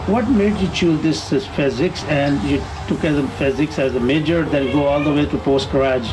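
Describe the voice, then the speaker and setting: A man interviewing a guest for television, asking long questions haltingly with many fillers. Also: fairly high pitch, background noise.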